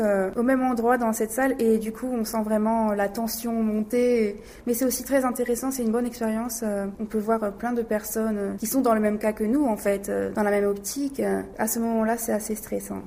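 A woman's voice: fairly high pitch, mellow. A young woman, an interpreting student, answering interview questions in a hesitant way with many fillers.

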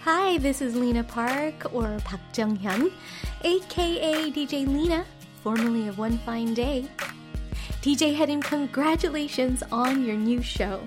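A woman and former radio DJ leaving a slow, gentle, encouraging voice message for a fellow DJ. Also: high voice, lively intonation. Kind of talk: recorded voice message. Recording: voice message with music behind.

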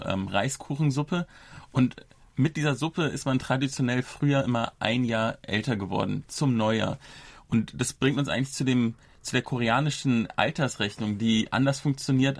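A man's voice: medium pitch, no echo. A man in his thirties from Germany, hosting a casual podcast and explaining things at length with small restarts. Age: 30s. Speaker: podcast host.

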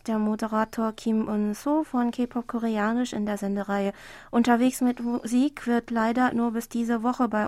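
A woman's voice: on the high side, darkish tone, dry recording. A woman presenting a radio program from a studio, announcing upcoming shows and news.

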